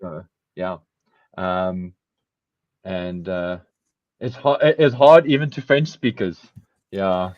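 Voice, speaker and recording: A man hosting a podcast, talking in a loose, rambling way that keeps circling back on itself. His voice sits at an ordinary pitch. The sound is a little muffled and very dry. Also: steady tone, takes it slowly, pauses often, no room sound. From South Africa. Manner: rambling, self-correcting, laughs often. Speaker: podcast host. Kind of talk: casual podcast chat.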